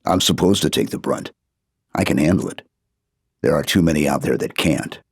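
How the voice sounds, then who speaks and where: A man voicing a stoic, weary, terse supersoldier with a gravelly voice in an audiobook. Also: very deep voice, moderate pace, no room sound, studio quality, exaggerated voice. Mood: burdened, resolute. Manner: terse, restrained. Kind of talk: audiobook character dialogue.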